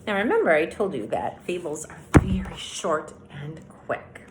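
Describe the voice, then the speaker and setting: A woman speaking as an elementary school teacher, giving a lesson and reading aloud to young children in a deliberate, instructive way. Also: on the low side, lively intonation, heavy background noise.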